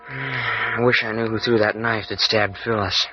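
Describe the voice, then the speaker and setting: A man's voice: lowish voice, fairly even intonation, a little slow, slight background noise, close to an everyday voice. An actor playing a defensive, grumbling young companion in an old-time radio serial, often evasive in his answers. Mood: grumbling, uneasy. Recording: muffled vintage radio recording.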